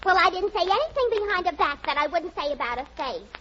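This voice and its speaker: A woman's voice: very high-pitched, animated, fast talker. A woman playing a gossipy, catty, man-hungry single gal in a vintage radio comedy. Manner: catty, chatty, exclamatory. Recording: muffled, noisy old radio recording.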